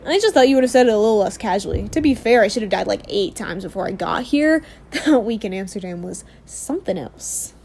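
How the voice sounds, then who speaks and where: A woman's voice: medium pitch, animated, fairly quick, few pauses, a little mellow, slight background noise, lightly acted. A woman playing a brash, sarcastic young recruit who goads and teases in a casual audio drama style. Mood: sardonic. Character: brash teasing young woman recruit, foul-mouthed, sardonic.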